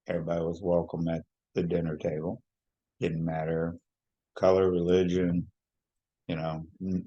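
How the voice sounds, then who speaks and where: A man in his sixties from Hawaii, telling his life story in an unhurried, reflective way, with many fillers and restarts. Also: low-pitched, a little mellow. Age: 60s. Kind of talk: personal storytelling.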